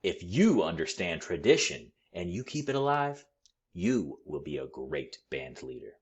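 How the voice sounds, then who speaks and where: A man voicing a giant, sweet-natured tuba player in a storybook, booming, hearty and emphatic as he mentors. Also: very animated, unhurried, highs cut off, heavily acted. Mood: encouraging. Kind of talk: storybook character voice.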